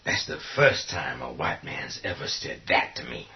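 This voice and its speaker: A man's voice: extremely low pitch, sing-song, moderate pace, vocal fry, exaggerated voice. A man playing a tough, combative survivor in a horror radio play, blunt, sarcastic and argumentative. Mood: angry, sarcastic. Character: tough, foul-mouthed, combative male survivor in charge. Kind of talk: radio horror drama acting. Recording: dull lo-fi audio.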